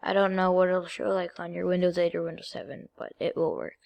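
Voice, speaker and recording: A female-sounding voice at a middling pitch walks through software steps. The delivery is informal and halting, in the manner of a homemade screen-recording tutorial. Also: flat delivery, creaky. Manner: informal, halting step-by-step instructions. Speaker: video creator giving a tutorial.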